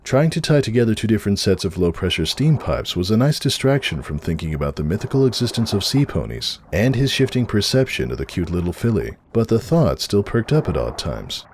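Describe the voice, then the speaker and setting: Deep-voiced, expressive male storyteller. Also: brisk, few pauses, slight background noise. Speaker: narrator. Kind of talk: dramatic story narration.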